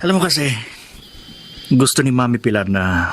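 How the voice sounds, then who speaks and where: An actor with a Filipino accent playing a greedy, cocky young schemer in a radio drama, boastful, smug and wheedling. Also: male voice, mid-range voice, very animated, normal speed. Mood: scheming.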